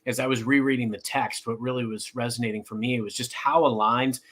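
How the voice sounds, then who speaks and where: A man's voice: mid-range voice, fairly even intonation, a little fast, fairly bright tone. A man hosting a podcast, eager and enthusiastic, with frequent fillers and restarts. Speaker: podcast host. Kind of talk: podcast introduction and chat.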